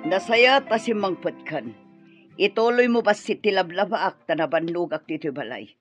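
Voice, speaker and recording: A woman's voice: lowish voice, animated, moderate pace, faint music behind, lightly acted. A woman playing a callous, scolding older mother-in-law in an Ilocano radio drama. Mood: bossy.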